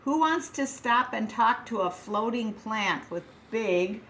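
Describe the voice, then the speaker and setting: A woman reading a children's picture book aloud, gentle and expressive, with dramatic pauses. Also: fairly high pitch.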